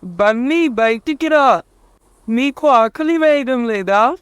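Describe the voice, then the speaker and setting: Woman as young male squirrel, high-pitched, unhurried, dry recording, plain delivery, bedtime animal tale. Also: animated, clear, resonant tone, no room sound. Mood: friendly. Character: lazy, later humbled young male squirrel, Sunny. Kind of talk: children's animal story dialogue.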